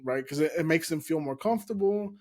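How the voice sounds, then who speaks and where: Higher-pitched man telling stories, first language Spanish. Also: animated, fast talker, darkish tone. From California, USA. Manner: storytelling, swears casually. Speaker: comedian guest. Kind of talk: casual chat.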